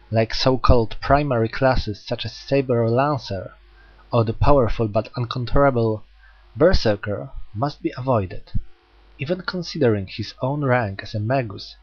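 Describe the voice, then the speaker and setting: A man's voice: mid-range voice, breathy edge, lo-fi sound. A man reading a light novel aloud as an amateur audiobook narrator.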